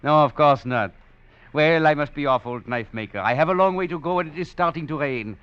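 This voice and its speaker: A man's voice: fairly high pitch, fairly quick, smooth, no room sound, lightly acted. An actor playing a wry, cunning male escaped prisoner who narrates his tale with chuckles and theatrical flair, in a 1940s radio drama. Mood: wry, chuckling. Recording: dull old radio recording, faint music.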